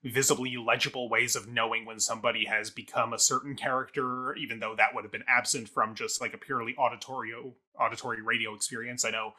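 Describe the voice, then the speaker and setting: Male podcast co-host, mid-pitched, bright and slightly tense, analytical, conversational, self-qualifying. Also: little pitch movement, fast talker, few pauses, husky. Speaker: theatre review podcast co-host. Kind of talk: casual discussion review.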